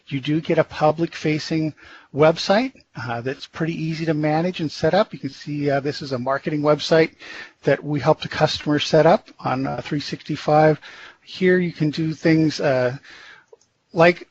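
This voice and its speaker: Casting: man with a slightly high voice, demoing software on a muffled, faintly hissy webinar line. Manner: relaxed, stumbling restarts with uhs. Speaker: technical presenter giving a software demo. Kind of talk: live software demonstration. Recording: dull, faintly hissy web-meeting audio.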